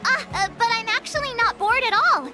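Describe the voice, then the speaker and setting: A woman voicing a cheerful, eager teenage girl student in an anime-style game, girlish and expressive. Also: very high voice, fairly quick, faint music behind, full character voice. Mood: eager, perky.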